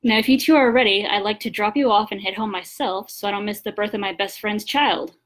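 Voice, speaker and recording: A woman's voice: mid-range voice, fairly even intonation, fairly quick, natural voice. Casting: jaded undercover shapeshifter for an audiobook, read by a woman, impatient, blunt, used to giving orders. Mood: bored, aggravated. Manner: blunt, bored, commanding.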